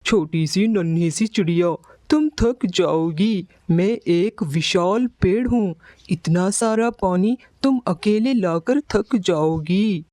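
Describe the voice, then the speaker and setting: Slow, pleading old-style talking tree acted by a man. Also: sits high, a little mellow, dry recording. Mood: doubtful, gentle. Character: huge thirsty sorrowful talking male mango tree. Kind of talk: Hindi children's cartoon story acting.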